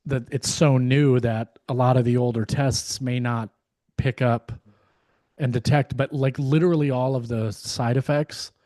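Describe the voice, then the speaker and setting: A man co-hosting a podcast, hoarse and losing his voice, talking in a casual, rambling way with many fillers and restarts. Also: mid-range voice, a little mellow, dry recording, clean studio sound. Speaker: podcast co-host. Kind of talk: casual chat.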